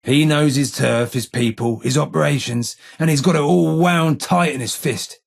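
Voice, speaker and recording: Husky, gravelly old London informer. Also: male voice, a little high, moderate pace. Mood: matter-of-fact. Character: blind old male London gang informer, weary and guilt-ridden. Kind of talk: video game character dialogue.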